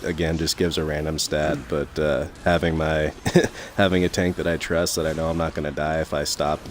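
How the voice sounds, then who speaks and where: A young man commentating his gameplay in a casual, rambling way full of fillers, his voice a little raspy from talking so long. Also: very deep voice, flat delivery, moderate pace, slight background noise. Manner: rambling, many fillers and restarts.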